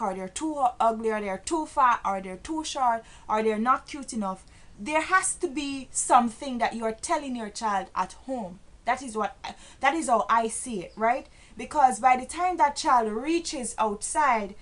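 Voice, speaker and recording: Jamaican woman vlogger, slightly high, earnest, hesitant with fillers, builds to preachy emphasis. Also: a bit bright. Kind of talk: casual talk to camera.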